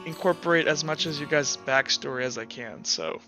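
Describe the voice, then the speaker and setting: Bright-voiced young man running a game. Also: a little high, soft background music. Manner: casual, halting, with many fillers and restarts. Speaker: game master. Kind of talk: running a tabletop roleplay game.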